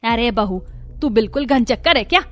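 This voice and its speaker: A woman voicing a sharp-tongued older mother-in-law who nags and scolds in a cartoon story. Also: on the high side, lively intonation, moderate pace, lightly acted. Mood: scolding. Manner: nagging, idiom-heavy scolding.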